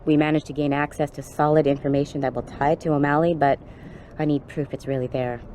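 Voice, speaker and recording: A woman acting as a tough policewoman in a game cutscene, with a very low, mellow voice. She talks bluntly and informally, like someone who knows the street. Faint noise sits behind her. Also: level tone, fast talker, lightly acted. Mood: confiding, businesslike.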